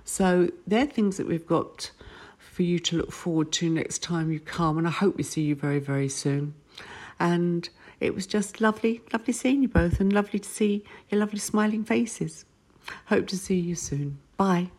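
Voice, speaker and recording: Enthusiastic woman with a low voice. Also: normal speed, slightly rough. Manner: warm, enthusiastic, repeats "lovely". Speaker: guest, retreat owner. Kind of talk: casual podcast chat.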